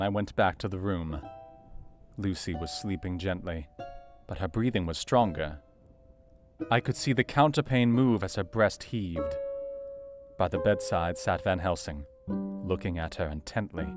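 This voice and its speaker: A man playing a serious, anxious Victorian doctor, narrating his diary in a measured, formal literary manner for an audio drama. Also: low voice. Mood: puzzled, observant. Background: faint plucked guitar music.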